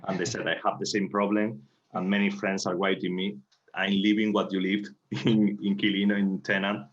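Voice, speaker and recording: A man from Spain with a low voice chats in his second language. He searches haltingly for words as he tells stories, and the sound is slightly dull and lo-fi. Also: flat delivery. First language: Spanish. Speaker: playwright, panel guest. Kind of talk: conversational anecdote telling. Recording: dull, lo-fi online call audio.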